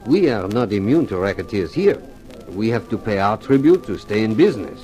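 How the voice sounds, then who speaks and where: Polite discreet waiter in old radio drama, formal, lots of pitch movement, faint music behind. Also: male voice, very animated, fairly quick, mellow. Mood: earnest, discreet. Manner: deferential, formal. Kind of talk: 1950s radio thriller acting.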